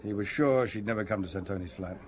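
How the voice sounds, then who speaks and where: An actor playing a dutiful subordinate police detective in a radio drama, speaking politely and in a businesslike way. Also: male voice, fairly low pitch, fast, natural voice.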